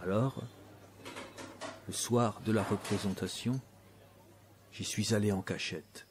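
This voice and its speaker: An actor playing a man who recounts a childhood memory in an audio drama, moving between hushed and excited storytelling. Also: fairly low pitch, takes it slowly, noisy background. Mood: wistful. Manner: expressive, swinging from hushed to excited. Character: man recounting his childhood circus mishap, wistful, vivid storyteller. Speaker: narrator of an audio fiction. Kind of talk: dramatised first-person storytelling. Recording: music bed under the voice.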